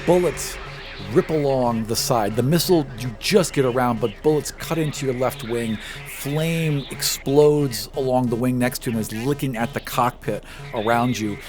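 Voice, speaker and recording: A man's voice: medium pitch, moderate pace, music in the background. A man narrating a tabletop game as game master, setting scenes dramatically in the present tense. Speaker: game master, podcast host.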